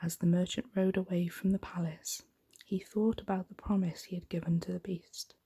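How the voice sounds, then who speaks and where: A woman gently reading a bedtime story in an unhurried, soft, whispery ASMR style. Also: on the low side, little pitch movement. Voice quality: breathy, whispery.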